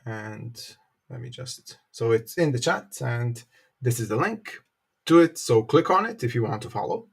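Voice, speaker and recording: A man presenting an informal live tech tutorial, explaining step by step in a halting way with frequent pauses. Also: medium pitch, animated, slightly rough. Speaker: presenter, company developer advocate.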